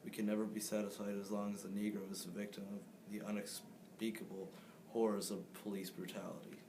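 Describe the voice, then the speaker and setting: A man's voice: fairly low pitch, flat delivery, brisk. A teenage boy, a student, reading a speech aloud. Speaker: student reader. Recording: clear background noise.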